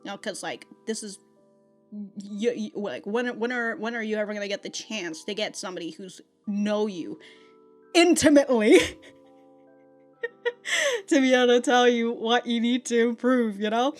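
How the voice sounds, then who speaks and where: A woman's voice: on the high side, moderate pace, pauses often, soft background music. A woman giving a chatty, rambling review as a reaction host, with casual slang and swearing. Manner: profane, slangy, laughs often while talking.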